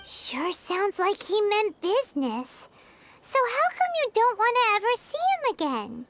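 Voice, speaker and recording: A woman's voice: huge pitch swings, measured pace, fairly bright tone, full character voice. A woman voicing a small, perky, bossy fairy-like companion in a video game, with a childish, exclamatory delivery. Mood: curious. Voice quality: squeaky, childlike. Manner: cutesy, exclamatory. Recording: game music behind the voice.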